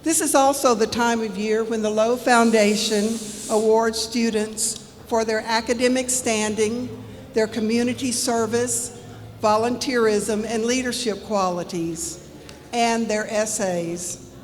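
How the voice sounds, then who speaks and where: High-voiced woman announcing in an echoing hall. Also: steady tone, a little hiss or hum. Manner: reads names slowly with small apologetic corrections. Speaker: presenter at a community awards ceremony. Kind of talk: formal announcing into a microphone. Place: large indoor event hall. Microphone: podium microphone. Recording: long hall reverb, music playing. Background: background music.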